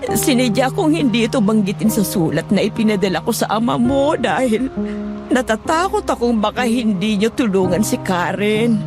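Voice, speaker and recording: Actress as middle-aged aunt, woman, remorseful, fearful, pleading and apologetic, audio horror drama, soft music bed. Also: fairly high pitch, natural voice. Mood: tearful confession. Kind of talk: dramatized horror story acting.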